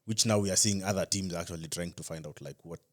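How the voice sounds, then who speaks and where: A man with a deep voice co-hosts a casual sports podcast. He talks informally, often repeating a phrase as he works toward his point. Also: quick pace. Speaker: podcast co-host.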